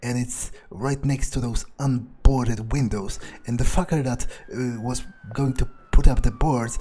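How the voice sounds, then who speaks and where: A man voices a sardonic vampire in a video game roleplay, muttering his thoughts aloud. The voice is husky and mid-pitched, with casual, grumbling, profanity-laced delivery. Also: measured pace, warm tone, close to an everyday voice. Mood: disgruntled. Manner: grumbling, sarcastic, swears freely. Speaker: game player narrating in character. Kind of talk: in-character roleplay monologue, casual.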